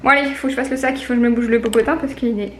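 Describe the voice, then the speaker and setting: A young woman with a blocked nose from a cold talks her way through her day, chatty and full of fillers and restarts. Her voice sits a bit high and bounces around an echoey room. A faint hiss runs underneath. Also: fairly even intonation, racing. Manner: chatty, rambling, many fillers and self-corrections. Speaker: vlogger. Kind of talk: casual daily vlog chat. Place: at home.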